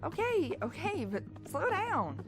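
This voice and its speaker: An easygoing young cartoon pony, played by a woman, with a high, lively voice that swings around in puzzled questions. Clear music plays behind the casual delivery. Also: takes it slowly, exaggerated voice. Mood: surprised, hesitant. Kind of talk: animated cartoon drama acting.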